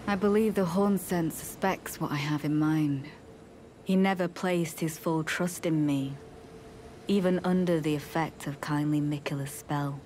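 An actress playing a coolly ruthless, devout woman knight in a fantasy game, speaking formally and with measured solemnity. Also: deep voice, steady tone, darkish tone, slight background noise. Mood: cold resolve. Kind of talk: scripted fantasy game dialogue.

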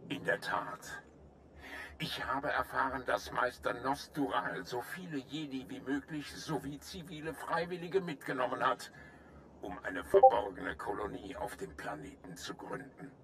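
A man playing a grave, courteous alien general in a video game, speaking in a formal, measured, earnest way. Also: mid-range voice, lively intonation, sharp tone, slight background noise. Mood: urgent, grave. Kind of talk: German video game voice acting.

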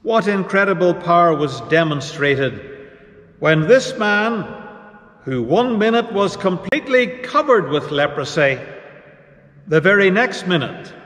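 A man preaching a sermon as pastor, measured and unhurried with pauses between phrases. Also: high-pitched, animated, a bit bright. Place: church. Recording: echoey church hall reverb.

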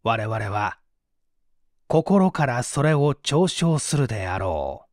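A man's voice: mid-range voice, very animated, slow pace, a little mellow, no room sound, clean studio sound, pitched below the performer's own voice. A man voicing a menacing, mocking villain's letter in pompous, archaic, declamatory speech. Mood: mocking menace.

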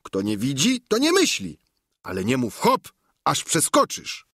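Man putting on Sancho, a high chatty squire. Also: huge pitch swings, a little slow, fairly bright tone, dry recording, clean studio sound, full character voice. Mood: proverb-spouting. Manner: rambling, full of folk proverbs. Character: chatty, simple peasant squire, middle-aged man. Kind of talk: audiobook character reading.

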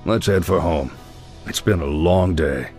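A man playing a stern, fatherly old paladin mentor in a fantasy game, measured, grave and commanding. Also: sits low, a little mellow, soft background music. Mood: reassuring.

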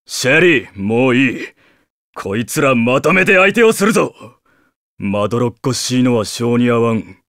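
Gruff, menacing demon fighter. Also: male voice, mid-range voice, big pitch swings, normal speed, fairly bright tone, dry recording, clean studio sound. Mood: scornful, threatening. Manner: gruff, rough-spoken. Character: brusque, menacing male demon fighter, impatient and contemptuous. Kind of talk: anime game voice acting.

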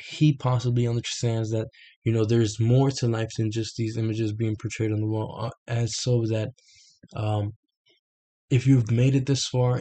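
A man's voice: mid-range voice, mellow. A young man in his twenties in a small bedroom, explaining a picture over a screen share at his desk webcam, hesitant and full of fillers and restarts. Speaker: self-made explainer. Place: small bedroom with red lighting, indoors.

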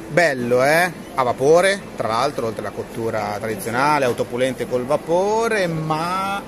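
A man, chatting casually and animatedly to camera as a tech vlogger, recorded on a handheld phone. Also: on the high side. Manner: animated, joking, conversational. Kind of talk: casual chat to camera while demonstrating products. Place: large, busy trade fair hall. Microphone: handheld phone at arm's length. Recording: busy fair crowd noise behind.

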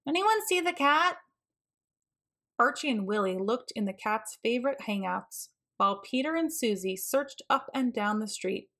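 A woman narrating a children's storybook in an expressive, deliberate way. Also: medium pitch. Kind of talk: read-aloud storybook narration.

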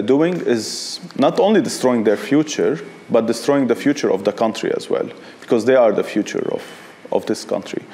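A man in his thirties speaks earnestly in an office interview, often halting and repeating himself. His voice is mellow and dark, with a faint hiss behind him. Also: medium pitch. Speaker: human rights director interviewed. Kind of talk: interview answers. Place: indoor office.

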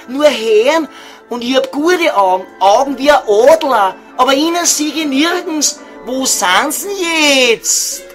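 A man's voice: extremely high pitch, a bit bright, full character voice. A man playing a cheeky, foul-mouthed estate agent in a comedy audio sketch, talking colloquially with a Viennese accent. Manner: crude, wheedling, colloquial.

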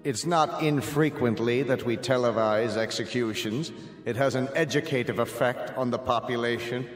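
An actor in a radio drama playing a cold, officious state chancellor, speaking in formal, bureaucratic pronouncements. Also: male voice, medium pitch, normal speed, faint music behind, lightly acted. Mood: coldly procedural. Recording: echoey reverberant hall.

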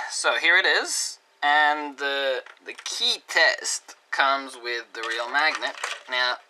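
A man teaching a hands-on electronics project at a desk, talking casually step by step and trailing off quietly as he works. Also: medium pitch, noisy background. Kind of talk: hands-on DIY tutorial narration. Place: indoor desk workbench.

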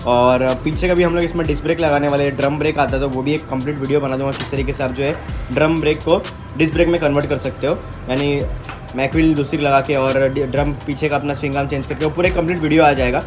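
Fast-talking young man showing his workshop build. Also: on the high side, steady tone. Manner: mixes Hindi with English words, explains step by step. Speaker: vlogger building a custom motorbike. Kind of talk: casual walkthrough explaining work to camera. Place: metal-walled garage workshop, indoors. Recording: music playing under the voice. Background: background music.

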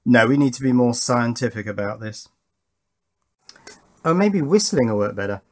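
A middle-aged man talks casually through a hands-on test at a workbench. His voice sits at a medium pitch. He thinks aloud in an informal, self-deprecating manner, as a hobbyist presenter would. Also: big pitch swings, moderate pace, a little mellow. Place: indoors at an electronics workbench.

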